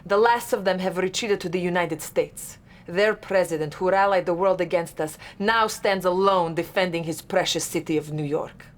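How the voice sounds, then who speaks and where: A woman's voice: on the low side, moderate pace, hardly any pauses, lightly acted. An actress playing a devoted Soviet intelligence officer with a Russian accent, solemnly rousing her commander in a video game. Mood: grave, urgent. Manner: solemn, rousing, addresses listener as comrade. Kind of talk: dramatic video game cutscene acting. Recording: loud music behind. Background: orchestral game music.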